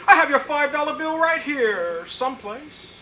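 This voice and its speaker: A man playing a bright-voiced pompous swindler. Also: extremely high pitch, big pitch swings, normal speed, slight background noise, exaggerated voice, low-quality recording. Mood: blustering, evasive. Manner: blustering, grandiloquent. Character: pompous wily male swindler, flowery and evasive. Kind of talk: 1940s radio comedy acting.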